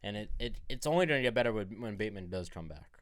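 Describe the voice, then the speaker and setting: A man's voice: on the low side, animated, quick pace, no room sound, studio quality. A man co-hosting a fantasy football podcast, giving blunt, informal takes in casual banter.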